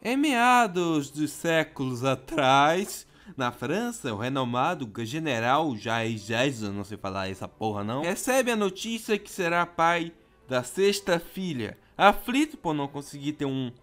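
A man's voice: on the high side, big pitch swings, unhurried, hardly any pauses, a bit bright, faint music behind. A man with a Brazilian accent hosting a manga commentary in an informal, slangy, casual way. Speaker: host of a manga commentary show.

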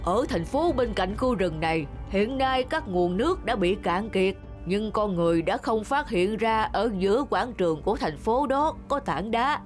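A woman voicing a talking forest animal in an animated fairy tale, explaining things in a measured storytelling way. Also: mid-range voice, natural voice. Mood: confiding. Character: talking forest animals sharing secrets at a meeting. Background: music.

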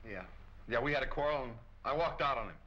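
An actor playing an agitated, stammering young murder suspect in a 1940s melodrama film. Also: male voice, mid-range voice, fast, pushed and bright. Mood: defensive. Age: young adult. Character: rattled, defensive young male murder suspect. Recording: muffled, hissy 1940 film soundtrack.

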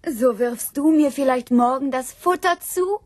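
Naive sow, radio play: high, breathy voice, simple questioning delivery. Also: female voice, a little slow, dry recording. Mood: puzzled. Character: naive, puzzled sow content with straw and trough. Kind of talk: literary radio drama acting.

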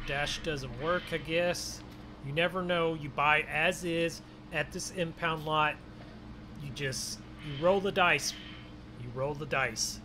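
A man's voice: fairly high pitch, fairly even intonation, slow pace, noisy background. A man giving casual, folksy role-play commentary as host of a farming game video, often exclaiming as he goes. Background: game vehicle and ambience sounds.

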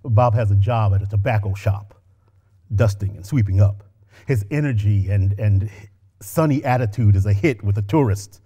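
A middle-aged man reads a short story aloud at a lectern on a stage. His delivery is measured and expressive. He leans into key phrases and lets the quieter ones drop back. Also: on the low side, husky. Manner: expressive, measured reading with varied emphasis. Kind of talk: dramatic story reading. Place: stage with orange curtains. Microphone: lectern microphone.

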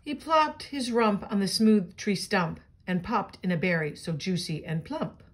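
A woman reading a children's picture book aloud in an expressive, child-directed way, with chatty asides. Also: mid-range voice, unhurried. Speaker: storybook reader.

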